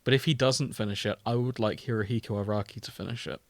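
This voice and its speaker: A man's voice: fairly low pitch, fairly even intonation, quick pace, no echo. A man co-hosting a podcast, chatting casually about fandom. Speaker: podcast co-host.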